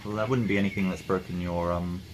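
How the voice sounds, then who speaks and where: A man's voice: low-pitched, steady tone, fast talker, low-quality recording. A man talking casually as a player in a tabletop roleplaying game. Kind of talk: casual chat. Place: in-person game session in a room. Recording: clear background room noise.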